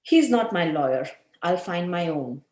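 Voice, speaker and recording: A woman's voice: lowish voice, expressive, unhurried, darkish tone. Woman as haughty, defiant wife, echoey room. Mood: cold defiance. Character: haughty, defiant wife and suspect, indignant and cold. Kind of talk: amateur script table read. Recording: echoey room on video call.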